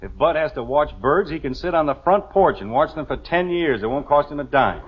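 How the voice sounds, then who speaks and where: Guy playing fed-up american sitcom dad, sarcastic, kinda high animated voice, old radio. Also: fairly quick, heavy background noise, close to an everyday voice. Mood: stern, sarcastic. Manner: blustering, sarcastic. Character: exasperated, thrifty middle-aged father and husband. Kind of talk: 1950s radio sitcom acting. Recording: dull vintage radio recording.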